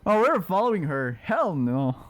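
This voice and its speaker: A man doing casual live gameplay commentary, exclamatory and quick to swear. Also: high voice, very animated, faint music behind. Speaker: game streamer.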